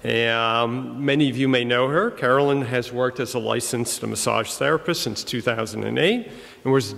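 An older man giving a formal introduction of a guest speaker from notes at a lectern, in a hesitant, halting way. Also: mid-range voice, gravelly, fairly bright tone. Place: large wood-panelled church hall. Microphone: lectern gooseneck microphone. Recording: echoey hall with long reverb.

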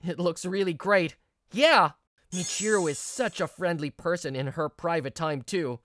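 A woman's voice: on the low side, a little slow, no echo, studio quality. A woman voicing an earnest, stressed young male office worker, narrating and acting in a manga video. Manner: earnest, expressive. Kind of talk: first-person narration and dialogue in manga video.

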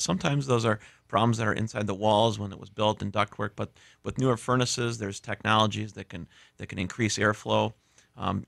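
Quick, gravelly-voiced male guest. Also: on the low side, fairly bright tone, no echo, studio quality. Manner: matter-of-fact, explanatory, some restarts. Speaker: guest heating and cooling contractor. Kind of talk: radio interview answers. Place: small radio studio. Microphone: studio mic with headphones.

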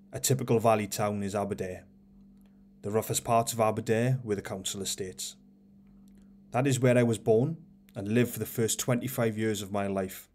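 A man reads a book aloud on his own channel, his voice sitting a little low. He keeps a steady, measured storytelling pace from sentence to sentence. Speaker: audiobook reader and channel host.

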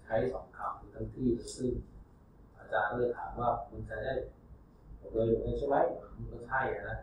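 A man's voice: mid-range voice, steady tone, very fast, a little hiss or hum. A man with a Thai accent, an adult English learner repeating words and hesitating in a classroom. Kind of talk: repeating after teacher. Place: small classroom. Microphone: far camera mic. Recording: echoey room from far off.

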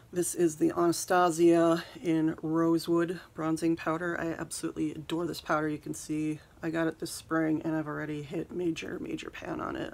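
A soft-spoken woman in her thirties talks through her makeup routine in a rambling, casual way, full of "um"s. Her voice has a gravelly fry and stays flat in pitch. She is recorded on a phone held close in a small bedroom. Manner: rambling, says "um" a lot. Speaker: beauty vlogger. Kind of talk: casual get-ready-with-me chat. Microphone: phone camera at arm's length.

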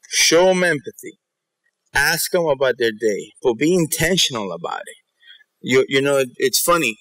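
A middle-aged man speaks as a keynote presenter. His voice is bright and forceful at an average pitch. He tells stories in an animated, conversational way, often checking that listeners follow. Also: poor audio. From New York and Ecuador. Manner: animated storytelling, checking in with the audience. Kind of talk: motivational conference talk. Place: theater stage in a large auditorium.

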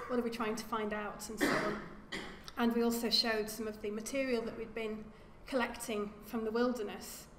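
A woman archaeologist giving an academic lecture at a lectern, speaking in a measured way and often repeating words as she corrects herself. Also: fairly high pitch. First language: English. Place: lecture room. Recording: echoey lecture hall with faint hum.

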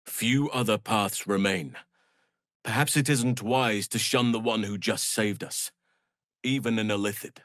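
An actor playing a calm, wise elf druid in a video game, speaking in a measured, earnest and formal way. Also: male voice, lowish voice, dry recording, clean studio sound. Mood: measured, grave. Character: calm, wise, burly elf druid man with an animal side. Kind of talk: video game character voice lines.

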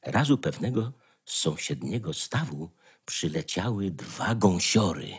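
A man's voice: low-pitched, expressive, measured pace, breathy edge. A man narrating a scripted fairy tale as a dramatic storyteller. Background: faint music.

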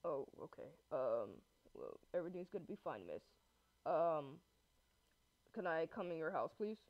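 A woman's voice: low-pitched, big pitch swings, moderate pace, pitched below the performer's own voice. A woman acting out an awkward male police officer in an amateur roleplay drama, hesitant and blunt. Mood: awkward, matter-of-fact. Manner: hesitant, blunt, full of ums. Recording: muffled lo-fi audio.

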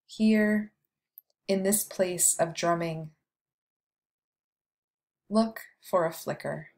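A woman leading a guided meditation, speaking slowly and softly with long pauses between phrases. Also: fairly low pitch, gravelly. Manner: slow, soft, soothing delivery with long pauses.

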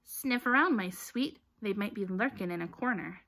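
A woman's voice: medium pitch, lively intonation, normal speed, a bit bright, rough recording. Woman acting a menacing male caretaker, sly, coaxing, prowling, children's storybook villain.

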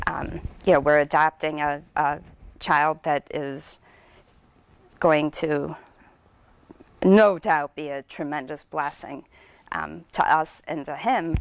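A middle-aged woman with a low, smooth voice shares a heartfelt testimony before her congregation. She talks earnestly into a handheld microphone in a small church, and the sound stays close and dry. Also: no room sound. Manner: earnest, emotional, speaks from a lectern. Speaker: church member sharing testimony.

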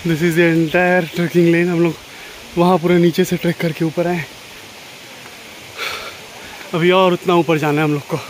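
A young man, vlogging on a forest trail. His voice sits a little high. Clear outdoor noise runs behind it from a handheld camera. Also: level tone, unhurried, long pauses, poor audio. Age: young adult. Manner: chatty, mixes in English phrases. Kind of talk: casual travel vlog. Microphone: handheld camera or phone at arm's length.

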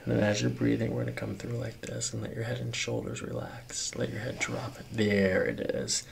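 A man's voice: medium pitch, level tone, normal speed, creaky, a little hiss or hum. A man in his thirties working as a chiropractor, talking a patient through a hands-on exam with instructions and short check-in questions. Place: small carpeted clinic treatment room. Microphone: microphone on a boom arm near the table.

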